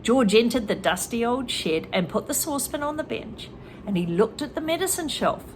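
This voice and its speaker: A woman's voice: a little high, expressive, normal speed, a little hiss or hum. A woman reading a children's story aloud and stopping to explain English words and expressions to learners. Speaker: reader and English teacher.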